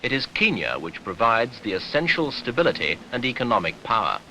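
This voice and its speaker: A man narrating a 1970s documentary newsreel in a formal, measured, authoritative way, on an archival soundtrack. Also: mid-range voice, sing-song, sharp tone, slight background noise, rough recording.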